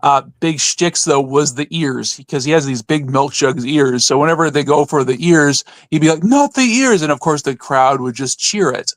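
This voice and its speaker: A man's voice: a little high, moderate pace, few pauses, dry recording. Man chatting casually, close dry sound. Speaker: podcast co-host. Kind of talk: casual chat about wrestling.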